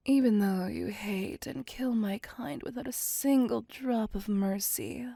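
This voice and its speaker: Woman playing a slow, breathy seductive vampire. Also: mid-range voice, mellow, no echo, clean studio sound. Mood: flirtatious. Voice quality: breathy, whispery. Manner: slow, teasing, flirtatious. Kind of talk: ASMR roleplay.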